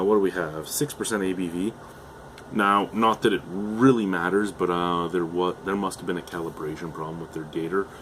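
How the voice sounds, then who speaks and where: Low-voiced man losing his voice. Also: slight background noise, poor audio. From Canada. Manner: chatty, hesitant, with frequent fillers and restarts. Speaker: beer reviewer. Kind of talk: casual beer review vlog.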